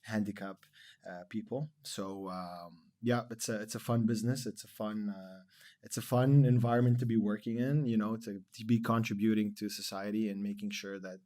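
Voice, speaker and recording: A man in his thirties from Lebanon, a podcast guest talking in a relaxed, rambling way with many fillers. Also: on the low side, moderate pace. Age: 30s. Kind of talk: casual interview chat.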